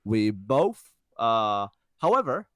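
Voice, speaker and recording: Young guy with a smooth clear voice, podcast chat, lots of uh and restarts, dry close sound. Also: lowish voice, big pitch swings, a bit bright, no echo. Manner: halting, frequent restarts and uh fillers. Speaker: podcast co-host. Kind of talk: casual conversational chat.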